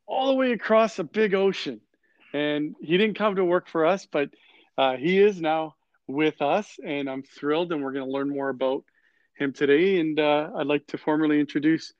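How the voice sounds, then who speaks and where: A man hosting a podcast conversationally, effusive and halting as he repeats his phrases. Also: a little high, no echo, lo-fi sound. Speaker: podcast host.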